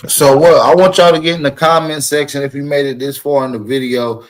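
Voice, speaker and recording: A man's voice: on the high side, fairly even intonation, brisk. A man hosting a reaction livestream, talking straight to viewers in a casual, hyped way. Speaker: host of a reaction channel.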